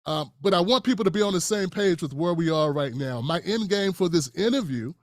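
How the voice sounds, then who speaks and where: A man hosting a live-stream interview, speaking in a measured way with frequent fillers and restarts. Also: high-pitched, animated, dry recording, studio quality. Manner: measured, many fillers and restarts, calls guest brother. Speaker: host.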